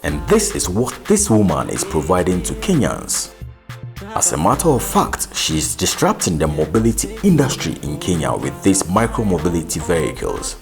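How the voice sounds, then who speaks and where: A man's voice: deep voice, huge pitch swings, moderate pace, gravelly, over music. A man from Ghana hosting an interview in a vehicle showroom, asking questions with a lapel mic. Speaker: host. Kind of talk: interview and voice-over intro. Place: showroom with electric bikes.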